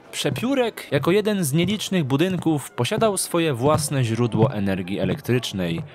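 Male audio drama narrator over faint music. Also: mid-range voice. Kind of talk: scripted dramatic storytelling narration. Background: background music.

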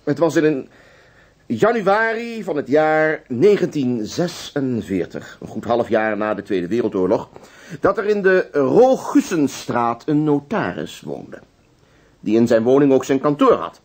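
A police inspector in a radio play, genial and amused. He lectures like a schoolmaster with hesitant asides, and his mid-pitched voice moves animatedly up and down. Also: sing-song, measured pace, a little mellow. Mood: storytelling, grave. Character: genial, teasing police inspector telling old cases. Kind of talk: radio drama acting.

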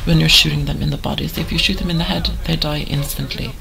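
A hoarse woman giving chatty, rambling commentary over a game she plays. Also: low voice, fairly even intonation, fast, fairly bright tone. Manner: chatty, rambling, full of fillers. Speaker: gamer. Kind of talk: let's play commentary.

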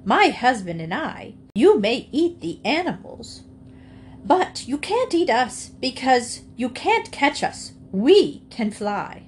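A woman's voice: high-pitched, very animated, slow, a little hiss or hum, pitched above the performer's own voice, exaggerated voice. A woman voicing a bold, defiant married hen in a storybook, speaking assertively.

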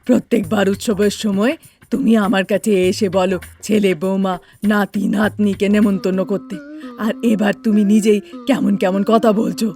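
A woman's voice: mid-range voice, fairly even intonation, normal speed, warm tone, faint music behind, lightly acted. A woman playing a bossy, nagging elderly mother-in-law and grandmother in a cartoon, with a scolding, insistent manner. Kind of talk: Bengali cartoon dialogue.

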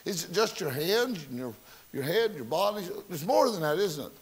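A man's voice: sits high, big pitch swings, moderate pace, rough recording. A man preaching a sermon, telling folksy stories and asking the congregation rhetorical questions. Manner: folksy storytelling with rhetorical questions, self-mocking. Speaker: pastor. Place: church sanctuary.

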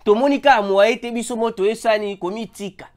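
Voice-over news commentator, Congolese French accent, stuffy with a cold, animated, keeps calling out to the audience. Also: mid-range voice, fairly quick. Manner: animated, repeatedly calls out to viewers, mixes in Lingala. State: has a head cold. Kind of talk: emphatic news commentary to viewers. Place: voice-over over a still image.

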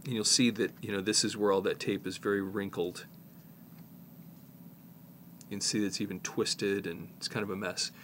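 A man in his fifties with a fairly low voice and a slight head cold talks through a hands-on job. He is informal and halting, explaining as he works, with noticeable background noise around him. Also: little pitch movement, fairly bright tone. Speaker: presenter, tape repair technician. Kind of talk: casual hands-on tutorial.

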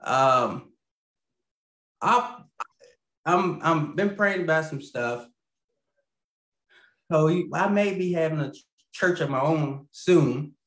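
A man in his thirties speaks reflectively about his church and marriage, pausing with frequent ums. He is on a video call from a small room at home that sounds a little roomy. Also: on the high side, fairly bright tone. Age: 30s. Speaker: assistant pastor. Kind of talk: casual interview chat.

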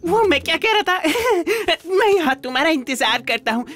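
A woman voicing a cowardly young male cartoon mongoose, whining and excitable. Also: extremely high pitch, moderate pace. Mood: nervous, evasive. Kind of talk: animated cartoon dub acting.

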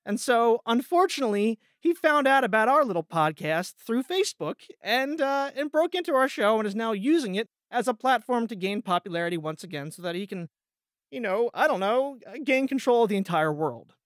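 A man hosting a podcast in casual chat, rambling and halting as he repeats words. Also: very high-pitched, big pitch swings, fairly bright tone, no room sound, studio quality. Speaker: podcast host.

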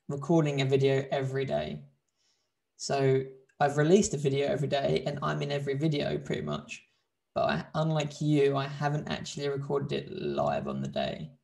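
A young man talks casually over a video call from a bright room at home, his voice a touch high and gravelly. He is chatty and laughs often, and he restarts his sentences a lot as he goes. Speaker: host doing a video challenge. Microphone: video call, desk mic.

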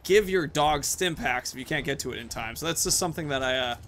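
A man's voice: medium pitch, fast talker, a bit bright. A young man hosting casual gameplay commentary in a chatty, jokey, rambling way. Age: young adult. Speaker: gaming video host. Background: game ambience, faint noise.

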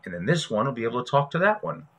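A man's voice: medium pitch, sing-song, racing, gravelly, heavy background noise. A man in his forties, a show host giving a casual how-to tutorial in a chatty, folksy way, speaking directly to viewers. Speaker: host of a prepping radio show. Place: small indoor room at a desk.

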